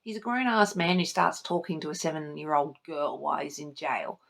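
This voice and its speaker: A woman's voice: low voice, fairly even intonation, brisk, gravelly, a bit bright. A woman talking casually to camera as a vlogger, informal and sweary, gushing over things she loves. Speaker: book vlogger, channel host. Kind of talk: casual chat to camera, book tag video.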